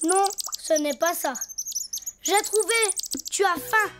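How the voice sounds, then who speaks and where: A bright, very high little donkey boy for a cartoon, eager and curious with a lively chatter. Soft music plays behind him. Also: slow pace. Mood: cheerful.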